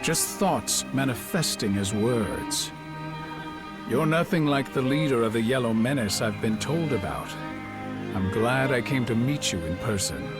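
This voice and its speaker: An actor playing a shrewd, courteous middle-aged warlord of ancient China in a video game cutscene, speaking in a measured, genial, probing way. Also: male voice, medium pitch, lively intonation, lightly acted. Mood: musing, amiable. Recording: loud music behind the voice.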